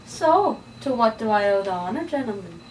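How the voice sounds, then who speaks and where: A woman's voice: on the high side, very animated, normal speed, a little mellow. Woman reading as composed, commanding mystic woman, distant mic. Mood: composed, wry. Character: composed female magic shop keeper, dryly courteous; haughty sinister female jazz singer sorceress. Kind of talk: amateur script table read. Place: room, read aloud at a table. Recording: distant room mic, faint noise.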